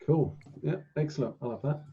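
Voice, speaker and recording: A man's voice: fairly high pitch, brisk, slightly rough. A man chatting casually as a tabletop game player on a lo-fi recording. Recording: dull lo-fi voice recording.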